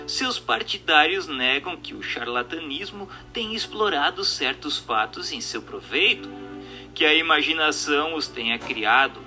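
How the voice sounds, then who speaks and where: A man with a Brazilian accent reads a scripted doctrinal text as a narrator, in a formal, declamatory and rhetorical manner. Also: fairly high pitch. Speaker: narrator of a spiritist book reading. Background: music.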